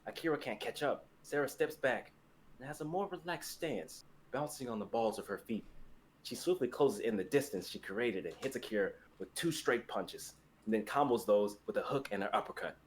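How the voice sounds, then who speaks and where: A man's voice: medium pitch, lively intonation, moderate pace, slight background noise. A young man reading stage directions as the narrator of a dramatic fantasy script. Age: young adult.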